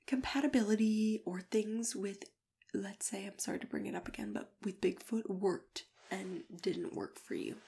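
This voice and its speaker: A woman speaking softly and unhurriedly in an ASMR matchmaker roleplay, gently drifting in and out of whispers. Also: mid-range voice, creaky, slightly rough. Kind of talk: ASMR roleplay, soft-spoken chat with whispering. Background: computer keyboard typing and clicking.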